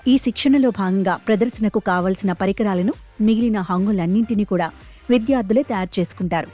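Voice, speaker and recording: A woman's voice: mid-range voice, a little fast, dark tone, faint music behind. A woman reading a scripted news feature narration as a voice-over.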